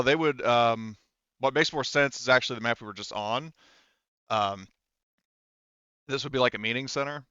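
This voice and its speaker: A man speaking as game master, casually narrating a tabletop roleplaying game in a halting, improvised way. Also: medium pitch, fairly bright tone, smooth, no room sound, dull audio. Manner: halting, informal, loosely improvised delivery.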